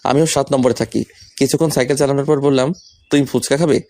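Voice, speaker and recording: Young male narrator and lead for romantic audio story, mid-range pitch, scripted delivery. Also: steady tone, fast, mellow.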